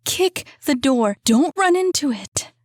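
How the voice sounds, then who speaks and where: A woman voicing a desperate trapped young woman who urgently pleads and shouts through a door. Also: high-pitched, takes it slowly, no echo, clean studio sound, pitched above the performer's own voice. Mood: frantic.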